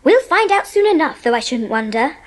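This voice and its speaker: A woman playing a bright, chatty schoolgirl in an audio drama. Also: high-pitched, sing-song, brisk, slight background noise. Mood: matter-of-fact.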